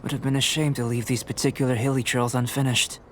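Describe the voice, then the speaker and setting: An actor playing a calm, reserved young male artist in a video game, speaking in a composed and measured way. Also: medium pitch, noisy background, natural voice. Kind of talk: video game cutscene dialogue.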